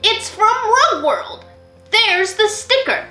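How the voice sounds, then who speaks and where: A woman's voice: very high voice, sing-song, takes it slowly, bright, forceful tone, reverberant, faint music behind, voice pushed up, exaggerated voice. A woman voicing an earnest, literal little boy from a storybook who speaks in short, rule-minded statements. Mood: upset, insistent. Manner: short, matter-of-fact statements.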